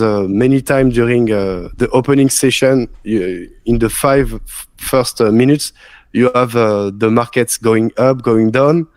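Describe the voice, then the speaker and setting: A man in his thirties with a French accent, unwell, answering interview questions in halting English and searching for words. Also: mid-range voice, a little mellow, dry recording. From France. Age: 30s. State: sick. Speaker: guest trader. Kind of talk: live stream interview.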